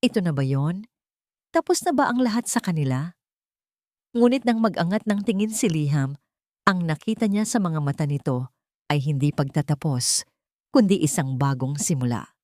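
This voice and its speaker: Woman, low pitch, sentimental story narration, dramatic pauses, close dry recording. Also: dark tone, dry recording. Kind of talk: scripted dramatic romance narration.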